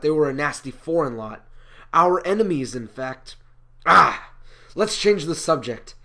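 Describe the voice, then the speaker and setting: A man's voice: a little high, a bit bright. A man performing a comic stage monologue as an indignant, self-justifying prophet, with emphatic, declamatory delivery. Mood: defensive. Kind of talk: rehearsed comic speech monologue.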